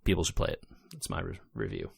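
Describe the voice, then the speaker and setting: A man co-hosting a podcast, chatting casually about video games and retelling things in an animated way. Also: sits low, quick pace, gravelly, husky. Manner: animated retelling, acting out quotes, laughing often. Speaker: podcast co-host.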